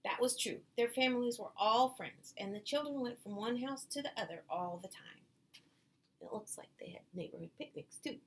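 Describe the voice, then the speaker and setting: A woman's voice: a little high, moderate pace. A woman reading a children's storybook aloud, adding small explanatory asides, with some noise in the background.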